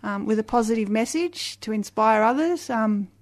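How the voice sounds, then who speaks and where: A woman with a loud voice, a guest on a radio interview, talking eagerly with many restarts and fillers. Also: on the high side, expressive, moderate pace. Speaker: guest, community festival organizer.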